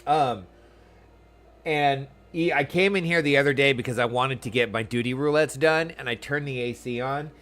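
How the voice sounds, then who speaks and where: A man's voice: medium pitch, moderate pace, a bit bright. A man with an American accent chatting casually on a game livestream, rambling and self-deprecating as he reads and answers viewers' messages. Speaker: game streamer. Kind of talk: casual livestream chat over gameplay. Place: small room at home.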